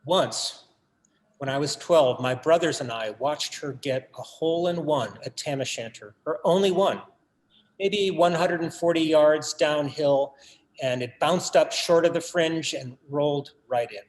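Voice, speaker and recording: An actor in a staged reading, playing a bereaved writer son who tells his family story in a measured, literary, wry way. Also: a little high, natural voice, low-quality recording. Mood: wry, nostalgic. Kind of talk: dramatic monologue in a staged reading.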